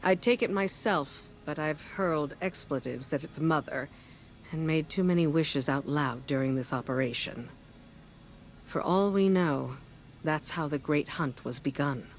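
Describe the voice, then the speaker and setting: A woman's voice: low voice, unhurried. A wary female military commander briefs in a businesslike, wry way. It is dry, pragmatic game dialogue over faint background noise. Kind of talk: video game mission dialogue.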